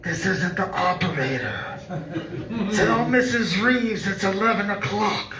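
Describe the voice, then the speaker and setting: Man acting a slow, high, menacing phone caller. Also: expressive, sharp tone, exaggerated voice. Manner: slow, ominous delivery. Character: menacing mysterious caller on a telephone, issuing death threats. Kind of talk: old-time radio play acting. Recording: roomy, echoing live-stage recording.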